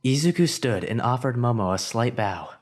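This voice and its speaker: A man narrating a story in an expressive, scripted storytelling way. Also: medium pitch, moderate pace.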